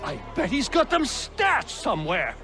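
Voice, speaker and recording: A man playing an indignant, excitable wronged tradesman with an exclamatory, dramatic manner in a video game cutscene. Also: very high voice, measured pace, over music, heavily acted.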